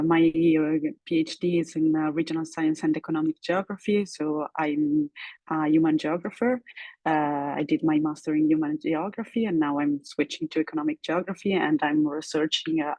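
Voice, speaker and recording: A woman's voice: sits low, darkish tone, dry recording. A woman PhD candidate introducing herself at an online conference, hesitant with frequent uhs. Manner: hesitant with uh fillers. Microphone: computer mic on video call.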